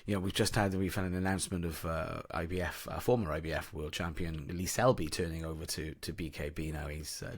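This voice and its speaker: A man's voice: deep voice, dry recording. A man hosting a podcast interview, rambling with frequent restarts and fillers. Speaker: podcast host. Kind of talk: casual interview chat.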